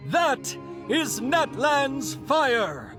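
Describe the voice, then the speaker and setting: Give me a slow, solemn ceremonial orator with a very high, lively voice. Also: male voice, music in the background, full character voice. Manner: solemn, declamatory. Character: ceremonial orator reciting a eulogy. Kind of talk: game voice acting.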